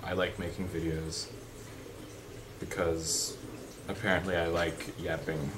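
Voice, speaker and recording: A young man with a deep voice chatting unscripted in a vlog, rambling with fillers and restarts. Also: flat delivery, unhurried. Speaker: vlogger. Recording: background noise.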